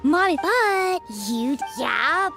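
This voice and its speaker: Game child role: an outgoing, eager little kid, squeaky-high yet unhurried, music underneath. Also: female voice, animated, creaky, full character voice. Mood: excited. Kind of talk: video game voice acting.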